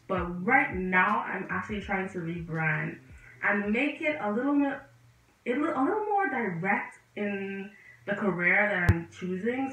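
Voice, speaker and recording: A young Bahamian woman talks straight to the camera in a chatty, animated vlog from a chair. Her voice bounces around a bare, echoey room and sounds a little distant from the mic. Faint music plays underneath. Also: on the low side. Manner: lively and animated, gesturing with both hands, chatty and direct to viewers. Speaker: vlogger and medical student. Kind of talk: casual sit-down vlog talking to camera.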